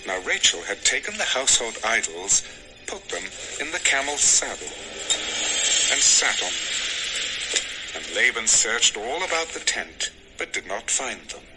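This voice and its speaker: A man's voice: mid-range voice, sing-song, measured pace, over music, rough recording. A man narrating a dramatized scripture story in a scripted storytelling style.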